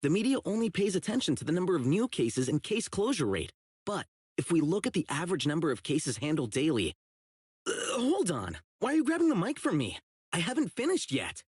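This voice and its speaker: A man's voice: on the high side, wildly swooping pitch, fairly quick, darkish tone, husky, no echo, clean studio sound, exaggerated voice. An actor playing a blunt, earnest young policeman who is socially oblivious, in video game voice lines. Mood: puzzled, indignant. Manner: blunt, earnest, literal-minded. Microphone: close studio mic, isolated dry voice track.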